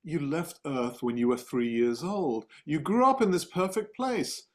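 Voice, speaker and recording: A man's voice: a little high, lively intonation, measured pace. A man playing a stern, dismissive office boss with a curt, condescending manner in a dramatic table read. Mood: scolding.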